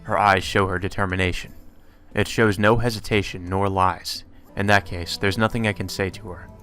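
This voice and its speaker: Guy reading a visual novel out loud, kinda low crisp-edged voice, casual, game music underneath. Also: fairly even intonation, normal speed. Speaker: let's player. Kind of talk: reading game text aloud with casual commentary.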